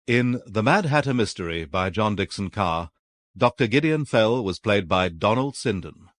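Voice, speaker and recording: A man's voice: lowish voice, expressive, normal speed, no room sound. A man reading cast credits as a formal radio announcer.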